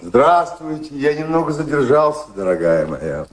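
An actor playing a dignified, ardent British colonial official in his fifties in a Russian radio drama, courtly and deliberate, with a husky voice. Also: on the high side, sing-song. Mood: genial, proud.

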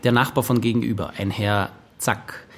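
An actor playing a police detective who reports facts and opinions in a radio crime drama. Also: male voice, fairly low pitch, fairly even intonation, normal speed, a bit bright, slight background noise. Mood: factual reporting. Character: matter-of-fact male police detective briefing his boss.

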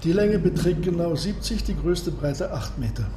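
Proud captain-engineer, radio drama. Higher register, courteous, measured, secretive; echoey room. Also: male voice, lively intonation, mellow. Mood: proudly explaining. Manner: measured, precise, formal. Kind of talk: German radio drama acting. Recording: roomy echo, clear noise behind.

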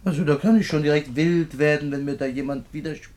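A man's voice: a little high, fairly even intonation, quick pace, a little mellow, close to an everyday voice. An actor playing a self-important, philosophising man in a radio drama, earnest and pompous in manner. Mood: indignant. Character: self-important, philosophising male assistant anatomy preparator.

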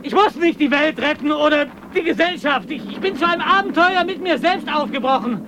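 A man's voice: very high-pitched, expressive, brisk. A man playing a lone, brooding polar adventurer in a radio drama, intense and increasingly anxious. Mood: anxious, urgent. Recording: very noisy recording.

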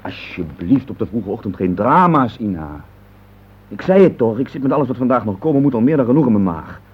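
Guy playing grumpy dismissive middle-aged husband, old radio play, talks fast, muffled recording. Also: medium pitch, big pitch swings, slight background noise, lightly acted. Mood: harried, placating. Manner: impatient, grumbling, dismissive. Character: irritable, evasive middle-aged husband, a harried office man. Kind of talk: naturalistic Dutch radio play acting.

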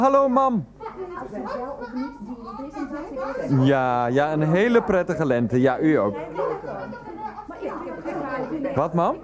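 An actor playing an earnest, flustered man in a radio play, pleading and placating in hesitant, repetitive phrases. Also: a little high. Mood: placating, exasperated. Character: earnest, flustered adult son and partner, placatingly arguing. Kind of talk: 1990s radio play acting.

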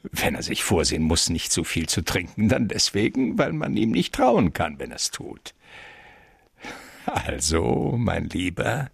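An actor playing a jovial, shrewd fat crime boss in a radio drama, pompous, wordy and patronizing. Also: male voice, low-pitched, huge pitch swings, unhurried, creaky, breathy edge, no echo, clean studio sound, full character voice. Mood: jovial, sly.

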